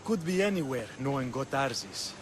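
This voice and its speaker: A man playing a wary male sailor who grumbles quickly. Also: mid-range voice, animated, over music, lightly acted.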